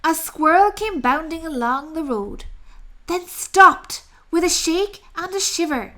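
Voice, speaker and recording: A woman narrating a children's story aloud with expressive, deliberate delivery. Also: very high-pitched.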